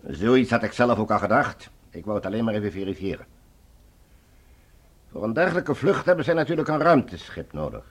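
Cold, commanding, husky male ruler. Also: lowish voice, big pitch swings. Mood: calculating. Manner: authoritative, measured, condescending. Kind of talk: science fiction radio drama acting.

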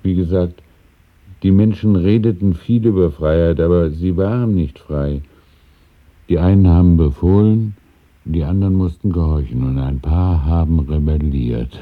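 A deep, husky, courteous old man. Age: older. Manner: hesitant, musing, courteous, with small chuckles. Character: deferential, wistful elderly shopkeeper, evasive and courteous. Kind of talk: 1970s German radio drama acting. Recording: muffled, phone-like old radio recording.

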